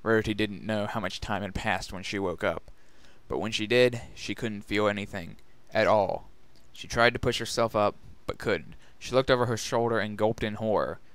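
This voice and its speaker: Low, smooth male story narrator. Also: moderate pace, fairly bright tone, dry recording. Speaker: narrator and reader. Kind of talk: dramatic scripted story narration.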